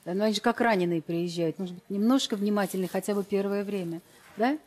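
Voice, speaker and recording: A woman hosting a TV dating show, asking brisk, probing questions of the guests. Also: medium pitch, darkish tone. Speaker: TV show host. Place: TV studio with live audience.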